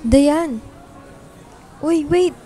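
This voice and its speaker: Soft-toned young woman, highish voice. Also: very slow, soft background music. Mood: urgent. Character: young single woman, caring, easily flustered loyal friend. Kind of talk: Filipino radio drama acting.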